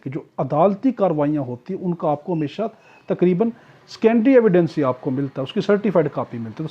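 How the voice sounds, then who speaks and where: A man's voice: high voice, dark tone, slightly rough. A man with a Pakistani accent giving an explanatory law lecture as a tutor, mixing in English terms, repeating points and answering his own questions. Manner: didactic, repetitive, asks and answers own questions. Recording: dull, muffled lo-fi audio.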